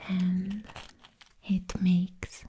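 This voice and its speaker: A woman playing a sweet, affectionate vampire in an ASMR roleplay, whispering softly and soothingly close to the mic. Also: fairly low pitch, completely flat delivery, a little hiss or hum. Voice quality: soft, breathy whisper. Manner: slow, soothing, gently repeating words. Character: sweet, affectionate young female vampire who likes humans. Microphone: close ASMR microphone.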